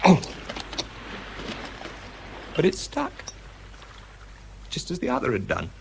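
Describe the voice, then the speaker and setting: A man acting in a radio drama as an exhausted, shaky, methodical bomb disposal expert, speaking haltingly under strain. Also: on the high side, huge pitch swings, vocal fry, noisy background, full character voice. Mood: exhausted, despairing. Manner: halting, hesitant, muttering as he works. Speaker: actor and narrator in a radio drama. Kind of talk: radio drama acting, first-person monologue.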